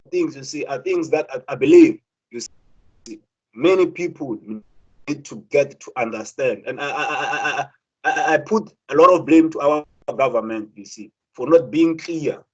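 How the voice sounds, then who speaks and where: A man's voice: a little high, darkish tone, slightly rough, muffled recording. A young South African man speaking earnestly, with many repeated words and restarts, as a student representative. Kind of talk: impassioned spoken talk.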